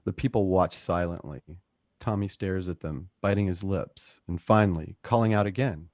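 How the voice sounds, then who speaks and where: Deep-ish guy narrating a radio drama script, dry close mic, suspenseful delivery. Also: moderate pace, a little mellow, dry recording.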